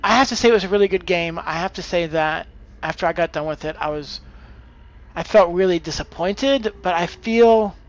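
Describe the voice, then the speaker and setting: A man's voice: sits high, moderate pace, highs cut off. A man giving casual live gaming commentary and tips, thinking aloud as he plays. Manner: hesitant, thinking aloud, exclaiming at the game. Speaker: game streamer.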